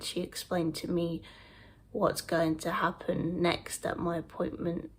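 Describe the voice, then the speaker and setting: Young British-raised man, vlogger, congested and nasal from a cold, slow gravelly speech, faint hiss behind. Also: sits high, low-quality recording. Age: 20s. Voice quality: slightly nasal, snotty. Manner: hesitant, soft-spoken, with restarts and pauses. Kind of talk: personal vlog recap.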